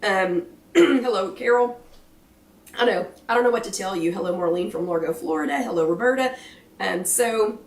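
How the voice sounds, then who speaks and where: An American woman with a hoarse, failing voice, chatting casually and apologetically as she hosts a livestream. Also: medium pitch, moderate pace. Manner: chatty, apologetic, self-deprecating. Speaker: host of a live weight-loss chat. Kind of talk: casual livestream chat greeting viewers. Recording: roomy echo, distant microphone.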